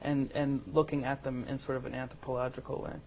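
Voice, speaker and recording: A woman's voice: very deep voice, level tone, quick pace, gravelly, a little mellow. A woman in her thirties, a filmmaker guest on a talk show, talking casually and hesitantly with many restarts. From United States. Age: 30s.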